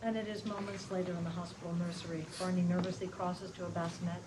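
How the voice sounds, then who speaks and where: A woman's voice: on the low side, flat delivery, quick pace. A woman reading scripted stage directions aloud at a table read. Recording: clearly noisy live room recording.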